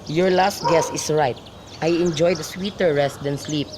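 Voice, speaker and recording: A man's voice: on the high side, fairly even intonation, unhurried, lightly acted. A man playing an earnest, impatient young lover in an amateur radio play, with a recited delivery. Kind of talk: student radio drama, recited modern Shakespeare. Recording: faint outdoor noise with birds.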